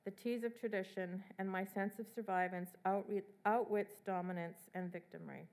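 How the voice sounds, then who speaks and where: A middle-aged woman lecturing from a podium in a hall, speaking in a measured way with fillers and restarts. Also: medium pitch, steady tone, a little mellow. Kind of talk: academic lecture.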